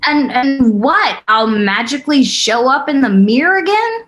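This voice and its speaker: A woman's voice: high-pitched, expressive, measured pace, a bit bright. A woman playing a frantic, insistent young woman who believes she is a ghost, in a script table read. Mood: confused, anxious.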